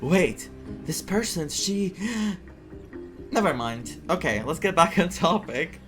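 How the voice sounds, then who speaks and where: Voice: medium pitch, expressive, takes it slowly. A game streamer giving casual playthrough commentary, thinking aloud and reacting to the story. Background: game music.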